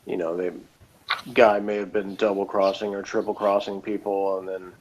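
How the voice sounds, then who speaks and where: A man's voice: low-pitched, flat delivery, normal speed, darkish tone, low-quality recording. A man co-hosting a casual, opinionated episode review in a rambling, loosely phrased way, with faint noise behind him. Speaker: review show co-host.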